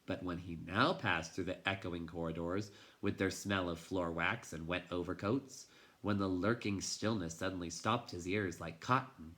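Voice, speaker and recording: Bright-voiced man reading a storybook dramatically. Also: deep voice, fairly even intonation, moderate pace. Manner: dramatic, shifting from hushed to intense. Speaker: teacher reading a storybook aloud. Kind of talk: expressive children's book read-aloud.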